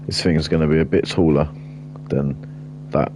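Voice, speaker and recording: Deep-voiced man rambling over gameplay. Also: steady tone, normal speed, background noise. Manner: rambling, blunt, chatty aside while building. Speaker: gaming streamer. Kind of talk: casual gameplay commentary.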